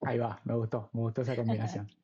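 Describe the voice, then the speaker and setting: Through slightly dull, lo-fi audio, a man with an Argentine accent hosts an informal chat. His voice is husky and mellow, and he asks questions in a casual, conversational way. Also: medium pitch, level tone, fast talker. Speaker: podcast host, interviewer.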